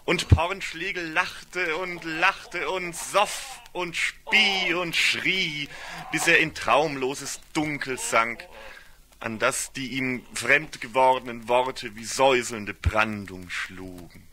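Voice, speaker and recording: A man's voice: medium pitch, slow pace, a crowd in the background. A man giving a scripted literary narration for a radio play in a solemn, ornate storytelling manner.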